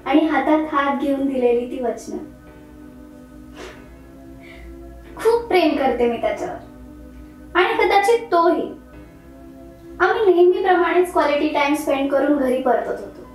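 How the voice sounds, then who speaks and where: Young woman doing a bitter accusing commuter, very high voice, big echo and music under it. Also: warm tone. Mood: wistful. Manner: bitter, accusing, rising to anger. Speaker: actor in a one-act monologue. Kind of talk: dramatic stage monologue. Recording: very echoey room, music underneath.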